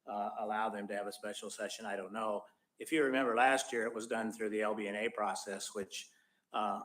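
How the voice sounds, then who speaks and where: A man with a low voice delivers a mayor's update at a public meeting. He talks haltingly, circling back with fillers and restarts. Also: fairly bright tone.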